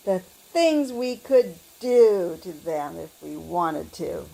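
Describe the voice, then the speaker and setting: A woman playing a lonely, grieving old widow who talks slowly to herself in an audio drama. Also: on the low side, lively intonation, gravelly, dark tone, heavily acted, rough recording. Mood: bitter. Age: older. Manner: slow, wistful, talking to herself. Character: lonely grieving old widow, fired telemarketer, turning bitter.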